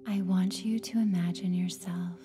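A woman with a soft, breathy, near-whispered voice guides a meditation in a soothing, gentle way, leaving long pauses between phrases. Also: fairly low pitch, fairly even intonation. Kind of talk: guided meditation, scripted narration. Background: meditation music with singing bowl tones.

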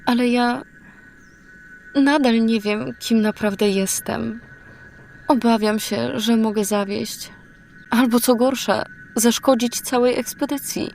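A woman playing an uncertain, earnest warrior woman without her memories in a fantasy audio drama, soft-spoken and hesitant. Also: on the high side, steady tone, slow pace, vocal fry, slight background noise. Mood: anxious.

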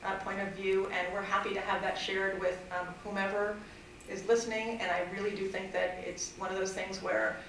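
A woman's voice: medium pitch, flat delivery, a little fast, a bit bright, slight background noise. A middle-aged woman advocating for fair housing at a public hearing, earnest and hesitant, with many fillers and restarts. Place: council chamber. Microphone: desk mic at a distance. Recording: echoey chamber, far from mic.

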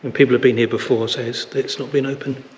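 Man, fifties, mid-pitched, casual walking vlogger, arm's-length camera mic, noisy outdoor ambience. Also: fairly even intonation, fast talker, a little mellow. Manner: chatty, enthusiastic asides. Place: village church and lanes, outdoors. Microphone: handheld camera mic at arm's length.

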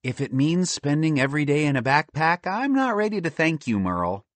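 A man's voice: medium pitch, very animated, a little fast, gravelly, a bit bright, no room sound, full character voice. Skeptical wife squirrel for a storybook male narrator playing her, dry, reproving, close-recorded. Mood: unimpressed. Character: sensible, skeptical female squirrel, long-suffering wife.

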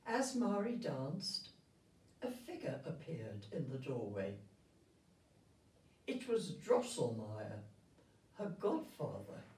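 Slow-reading elderly woman, distant and roomy. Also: deep voice, sing-song, a little mellow. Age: 70s or older. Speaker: retirement village resident reading a story. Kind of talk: storybook read aloud to children. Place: indoor room with festive backdrop. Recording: roomy sound, distant microphone.

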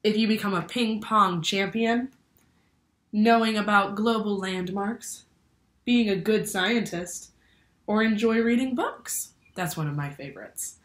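A woman's voice: medium pitch, unhurried. A young woman reading a children's picture book aloud like a teacher, expressively, asking the listeners questions. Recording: roomy indoor echo.

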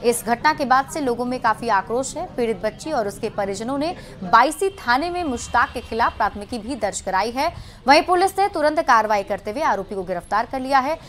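A woman news anchor reading a bulletin of regional news in a brisk, formal headline style. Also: a little high, lively intonation, fairly bright tone, soft background music. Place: television studio.

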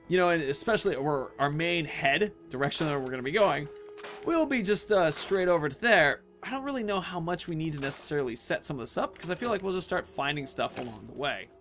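Casual man doing game commentary, slightly high voice. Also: expressive, fairly quick, fairly bright tone, faint music behind. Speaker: video game streamer, host.

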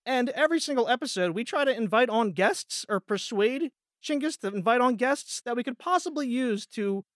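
A man hosting a podcast in casual chat, rambling and halting as he repeats words. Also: extremely high pitch, lively intonation, fairly bright tone, no echo, studio quality. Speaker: podcast host.

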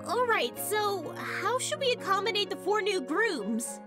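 A woman's voice: extremely high pitch, very animated, unhurried, over music, exaggerated voice. A woman voicing a gushing, bossy young hedgehog girl in a cartoon comic dub, emphatic. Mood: gushing, insistent.

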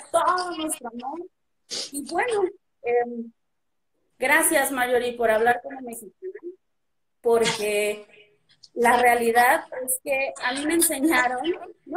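High-voiced woman, earnest and measured. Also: rough recording. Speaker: guest on a live stream. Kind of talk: earnest heartfelt monologue. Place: room with a blue backdrop. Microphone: webcam video call.

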